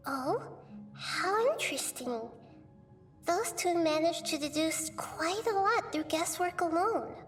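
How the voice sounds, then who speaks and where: High-voiced, wise young goddess. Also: female voice, soft background music, full character voice. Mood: intrigued. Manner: calm, measured, explanatory. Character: small, wise, gentle young goddess, composed and knowing. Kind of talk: video game voice acting.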